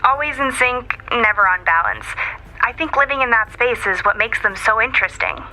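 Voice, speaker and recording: A woman's voice: fairly high pitch, animated, normal speed, sharp tone, poor audio. A woman playing a friendly, wry TV writer, chatting as an interview guest over a thin video-call line. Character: friendly, wry female TV writer calling in remotely. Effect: thin video-call filter. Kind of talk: conversational remote interview.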